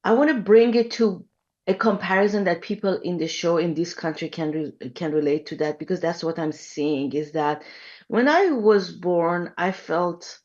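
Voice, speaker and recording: A woman in her fifties from Iran, speaking as an interview guest, reflective and thoughtful, pausing often with ums. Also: lowish voice, a little mellow, muffled recording. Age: 50s. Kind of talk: conversational interview.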